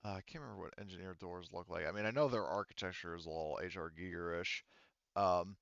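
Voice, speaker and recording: A man running a tabletop roleplaying game as game master, unscripted and halting as he searches for words. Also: deep voice, creaky, a bit bright, dry recording, dull audio.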